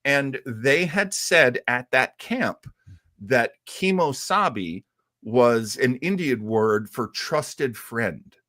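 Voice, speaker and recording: A man co-hosting a live talk show about old radio, telling its history as an expressive storyteller. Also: medium pitch. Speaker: co-host.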